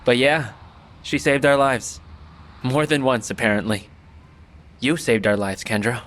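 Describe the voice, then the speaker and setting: A man's voice: mid-range voice, steady tone, a little slow, fairly bright tone, natural voice. A man playing an easygoing, encouraging young friend in a naturalistic audio drama. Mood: thoughtful.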